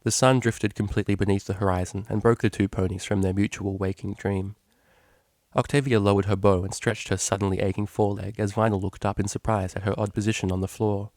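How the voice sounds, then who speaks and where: A man's voice: on the low side, little pitch movement, a little fast, a little mellow, dry recording, clean studio sound. A man reading a scripted story aloud as its narrator. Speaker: narrator, fan fiction reader.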